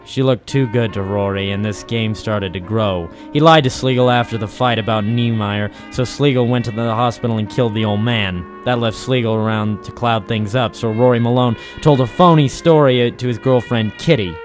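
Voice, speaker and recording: An actor playing a cynical, tough private eye, deadpan and wry, in a noir radio drama. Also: male voice, medium pitch, normal speed, few pauses, faint music behind, close to an everyday voice. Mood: cynical narrating. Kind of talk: 1940s film noir radio detective narration.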